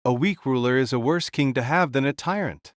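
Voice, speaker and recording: A man's voice: medium pitch, brisk, no room sound, clean studio sound, natural voice. A man playing the spirit of a blunt conqueror king in an audio drama, speaking with firm, weighty deliberation. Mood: commanding.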